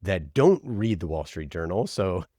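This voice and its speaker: A man's voice: sits low, sing-song, a little slow, dark tone, no room sound, studio quality. A man talking casually as an interview guest and podcast producer, giving emphatic, opinionated advice and acting out imagined exchanges.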